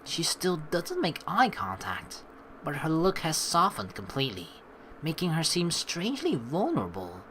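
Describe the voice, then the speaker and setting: A young woman doing a let's play, switching between rambling casual commentary and expressive read-aloud narration of a game. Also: low voice, normal speed, a little hiss or hum, poor audio. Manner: rambling, colloquial, playfully expressive. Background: faint game music.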